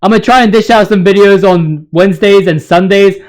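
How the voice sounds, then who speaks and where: A man's voice: high voice, a little fast, a little mellow. A man giving casual, opinionated gaming commentary as a video host, ranting in slang with emphatic repetition.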